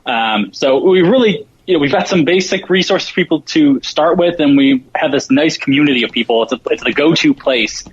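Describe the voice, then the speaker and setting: Slightly husky man, muffled call audio. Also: fairly high pitch, expressive, fairly quick, hardly any pauses. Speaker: show guest, program organizer. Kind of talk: casual informational talk.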